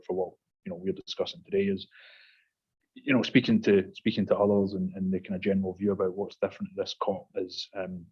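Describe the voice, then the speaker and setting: Deep, husky man on a muffled call. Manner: measured, with frequent fillers and repeats. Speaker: panel guest, industry consultant. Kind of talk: casual panel talk.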